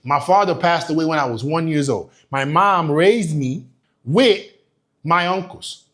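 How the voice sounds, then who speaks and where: A man's voice: fairly high pitch, measured pace, fairly bright tone. A young man from Nigeria giving a motivational business talk, emphatic and profane, hammering points home by repeating them. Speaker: business coach.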